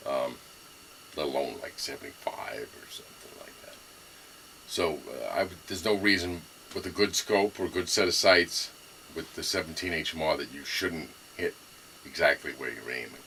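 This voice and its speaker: A middle-aged man with a thick Brooklyn accent talks in a very deep voice that still has a bright, sharp edge. He rambles casually, restarting sentences and filling gaps with ums and you-knows. A faint background noise sits under the recording. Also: low-quality recording. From New York. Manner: rambling, many fillers and restarts. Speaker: gun owner reviewing his rifle. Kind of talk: casual rambling show-and-tell.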